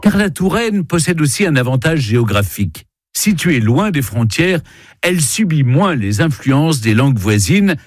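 A man presenting a playful, scripted radio chronicle on the history of French. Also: fairly high pitch. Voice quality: playful, wry delivery.

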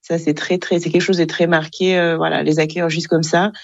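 A young woman from Rwanda, guest on a radio interview, who speaks hesitantly with many fillers and soft laughs. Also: low voice, little pitch movement, brisk, gravelly, no echo, lo-fi sound.

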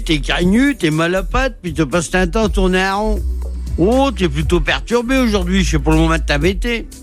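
A man with a thick Picard accent reading a comic horoscope on regional radio, folksy and teasing. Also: sits high. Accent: Picard (ch'ti). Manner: folksy, teasing, talks to listener as "tu".

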